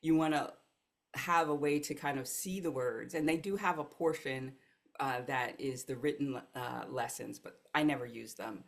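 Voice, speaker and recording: A woman's voice: a bass voice, normal speed, vocal fry. An American woman, a life coach, telling her story as a podcast guest in an earnest, reflective way. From United States. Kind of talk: podcast conversation.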